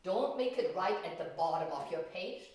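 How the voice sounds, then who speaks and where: A woman's voice: lowish voice, animated, moderate pace, darkish tone, a little hiss or hum. A woman teaching a children's painting lesson, explaining step by step in simple words and asking questions. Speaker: art teacher. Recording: very echoey room, distant mic.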